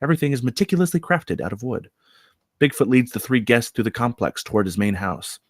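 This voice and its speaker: A man narrates screenplay stage directions at a table read. His medium-pitched voice moves with lots of variation, and the sound is dry and close. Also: expressive, fairly quick, no room sound.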